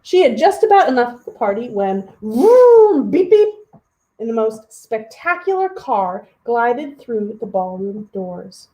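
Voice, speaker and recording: Female storybook reader for young kids, soft mellow tone, expressive delivery with direct chatty asides. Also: a little high, measured pace, reverberant, low-quality recording. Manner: animated, talks directly to young children. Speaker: children's story hour host.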